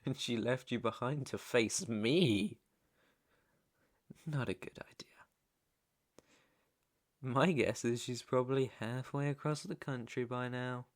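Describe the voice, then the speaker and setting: A British man playing a menacing, teasing mob boss's son in an intimate ASMR roleplay, with a deliberate, taunting delivery. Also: medium pitch, natural voice. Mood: taunting, stern. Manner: slow, deliberate, taunting, swearing. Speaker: roleplay audio performer.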